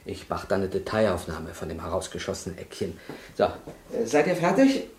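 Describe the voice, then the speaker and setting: An actor playing a courteous, brisk film director who gives instructions in naturalistic radio drama dialogue. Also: male voice, sits low, big pitch swings, a little mellow. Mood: instructing. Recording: roomy echo, faint background noise.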